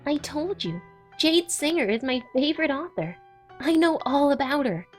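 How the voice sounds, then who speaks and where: An eager young mare from a cartoon , acted by a woman, high-pitched and very expressive. She talks in earnest, wordy bursts and is quick to apologize, with music playing behind her. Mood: confident, earnest. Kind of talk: comic dub cartoon acting.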